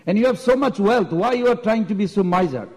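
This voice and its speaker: A man's voice: high-pitched, lively intonation, normal speed, a little mellow. A man voicing a proud, argumentative young Brahmin boy who haggles insistently and provokes in a devotional story. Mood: haggling, insistent. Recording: long echoing hall reverb.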